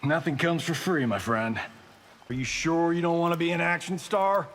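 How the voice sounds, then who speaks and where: Showy jokey action star, high lively voice, smug, hall reverb. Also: male voice, moderate pace. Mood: wisecracking. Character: smug, wisecracking male Hollywood action star. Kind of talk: video game fight banter.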